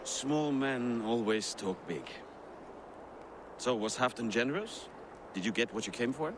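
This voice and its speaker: An actor playing a proud, brash Viking warband leader in a video game, with a bold, blunt, theatrical delivery. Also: male voice, mid-range voice, expressive, a little slow, background noise, natural voice. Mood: sardonic. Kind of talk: dramatic video game cutscene acting. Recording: game audio with music underneath.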